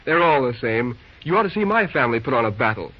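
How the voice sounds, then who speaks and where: Husky, breezy young railroad man. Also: mid-range voice, sing-song, fast. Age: young adult. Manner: slangy, breezy. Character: folksy, easygoing, gallant young railroad boss. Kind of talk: 1940s radio drama acting. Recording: muffled old radio recording.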